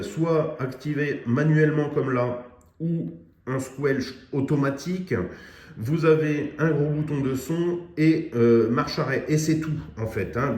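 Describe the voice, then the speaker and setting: A man's voice: medium pitch, darkish tone. A man casually demonstrating a CB radio to viewers, chatty and full of fillers. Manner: chatty, many fillers, addresses viewers directly. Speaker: radio equipment reviewer. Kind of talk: casual product demo. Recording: echoey room, faint noise.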